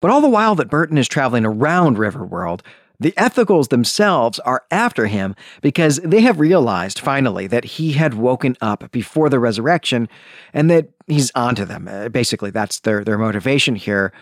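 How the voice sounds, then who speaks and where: A man hosting a podcast, telling a story in a conversational, animated way with casual asides. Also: medium pitch, fairly quick, no echo, studio quality. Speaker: podcast host. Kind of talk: conversational book summary and commentary.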